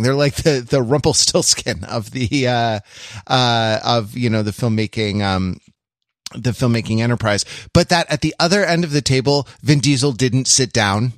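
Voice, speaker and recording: A man's voice: medium pitch, a bit bright, dry recording, studio quality. A man co-hosting a casual film podcast, speaking in wordy, circling phrases with frequent hesitations. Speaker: podcast co-host.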